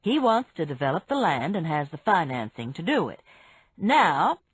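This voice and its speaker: A woman voicing a calm, knowledgeable businessman who explains patiently and matter-of-factly in an audiobook story. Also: sits low, husky, dry recording, dull audio, full character voice. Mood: patient, assured. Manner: measured, matter-of-fact explaining.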